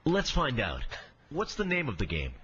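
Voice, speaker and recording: Man playing a wildly animated game-line phone agent. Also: medium pitch, moderate pace, slight background noise. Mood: upbeat. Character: brash, upbeat video game company phone agent. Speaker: voice of a phone system demo. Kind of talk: hyped promotional phone prompts. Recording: dull lo-fi clip, loud music behind.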